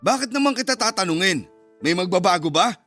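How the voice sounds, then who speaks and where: A man's voice: high-pitched, lively intonation, fairly quick, a bit bright, exaggerated voice. An actor playing a cold, resentful middle-aged father in a Filipino radio drama, speaking in a curt, scornful, accusing way. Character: cold, resentful, harsh father of a grown son. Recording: music bed behind the voice.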